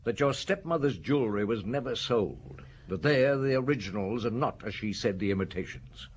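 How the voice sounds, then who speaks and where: A man's voice: mid-range voice, expressive, a little fast, close to an everyday voice. A man playing a stern, relentless chief inspector in an audio drama, blunt and commanding as he accuses. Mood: blunt, accusing. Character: stern, relentless male police chief inspector, menacing and sure. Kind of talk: audio drama acting, interrogation and narration. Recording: clearly noisy drama recording.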